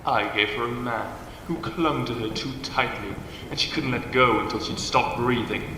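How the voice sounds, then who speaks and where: A malevolent devil played by a man speaks in a cavernous, echoing space with long reverb. He is slow, theatrical and cruel, savouring his menace over a noisy background. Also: medium pitch, lightly acted. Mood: gloating menace. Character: malevolent male devil bound to a bridge, gloating and cruel. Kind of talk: fan audio drama monologue.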